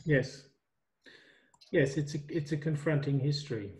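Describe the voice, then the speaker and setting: Middle-aged man, a bit higher voice, tutoring online on a headset, loose and hesitant. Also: unhurried. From migrant to Australia. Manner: relaxed, hesitant with many ums. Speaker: university tutor. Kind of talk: online workshop teaching.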